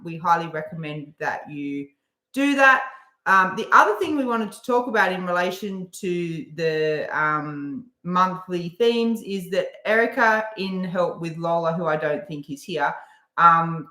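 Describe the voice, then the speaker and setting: A woman co-hosting a casual live stream, relaxed, with lots of "um" pauses as she reads out comments. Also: on the low side, reverberant.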